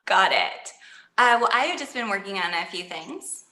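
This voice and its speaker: A woman's voice: medium pitch, animated, moderate pace, bright, forceful tone. An actress reading a guarded, politely cool painter in her thirties, conversational and understated. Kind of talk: comedy pilot table read. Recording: echoey room over online call.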